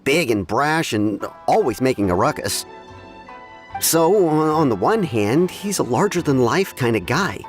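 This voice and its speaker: Game townsman: watchful gossip, mid-range voice, very animated intonation, hedges as he explains. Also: medium pitch, unhurried, faint music behind. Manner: conversational, hesitant, hedging. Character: easygoing, watchful townsman passing on gossip, measured. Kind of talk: video game dialogue.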